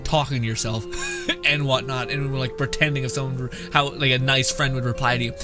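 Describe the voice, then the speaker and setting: A man's voice: mid-range voice, little pitch movement, brisk. A young man giving casual live game commentary in a jokey, rambling, sweary way. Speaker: game streamer. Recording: game music behind voice.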